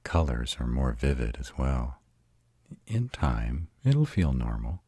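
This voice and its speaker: An arrogant yet devoted vampire, played by a man for a romantic roleplay audio. His voice is extraordinarily deep with a husky rasp, recorded dry and close. He speaks deliberately and tenderly, like a patient, intimate teacher. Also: big pitch swings, warm tone, dry recording, full character voice. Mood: gentle, reassuring. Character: arrogant male vampire, devoted mate and patient mentor to a newly turned partner.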